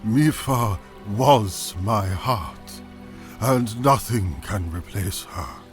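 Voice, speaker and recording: Man as a slow, low-voiced, solemn fish-folk king. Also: animated, faint music behind. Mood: gentle, sorrowful. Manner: stately, measured, regal. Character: benevolent, solemn aging fish-folk king and grieving father. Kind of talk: fantasy audio drama acting.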